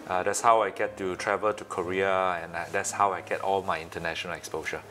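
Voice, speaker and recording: A man in his late thirties with a Malaysian accent, speaking haltingly and pausing often in a conversational interview as the guest. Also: sits low, flat delivery, bright, forceful tone, soft background music. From Malaysia, east coast. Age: late 30s.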